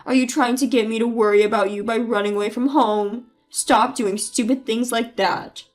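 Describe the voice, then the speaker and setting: A woman's voice: fairly high pitch, steady tone, moderate pace, soft background music, natural voice. Woman voicing a bullying, selfish husband. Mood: yelling. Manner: blustering, yelling then pleading. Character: bullying adult male husband, selfish mama's boy.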